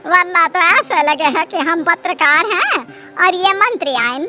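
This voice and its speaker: A woman's voice: very high-pitched, animated, a little slow, pushed and bright, faint music behind, exaggerated voice. A woman voicing a sarcastic, long-suffering middle-aged mother-in-law with a dramatic, complaining manner.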